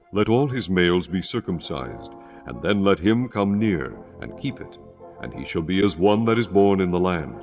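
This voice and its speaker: A man narrating scripture from a script in a solemn, measured, formal way. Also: deep voice, darkish tone. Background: music.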